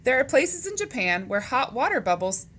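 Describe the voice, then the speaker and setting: A woman's voice: sits high, big pitch swings, moderate pace, a bit bright, slightly rough. A woman reading a children's book aloud, speaking to young listeners in a question-and-answer manner, on a noisy recording.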